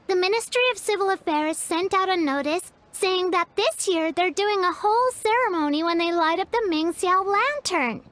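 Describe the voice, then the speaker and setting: Game extra: chatty young festival-goer, very high and quick to explain. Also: female voice, fairly bright tone, no room sound.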